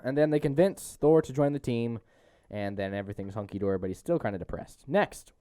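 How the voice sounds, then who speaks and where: A man's voice: mid-range voice, lively intonation, a little fast, dark tone, smooth, no room sound, clean studio sound. A man hosting a podcast, chatting casually about a movie as he works through a plot summary. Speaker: podcast host.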